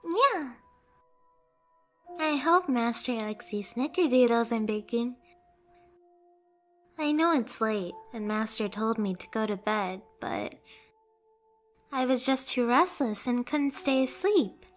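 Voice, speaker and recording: A woman's voice: a little high, lively intonation, slow pace, long pauses, faint music behind. A woman voicing a devoted, submissive young catgirl pet in an ASMR romance roleplay, tender, earnest and pleading. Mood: fond, restless.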